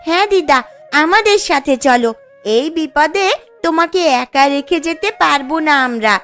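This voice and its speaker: A woman's voice: high voice, expressive, moderate pace, fairly bright tone, soft background music. A woman voicing a caring, eager young village grandchild in a cartoon story. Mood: worried, caring.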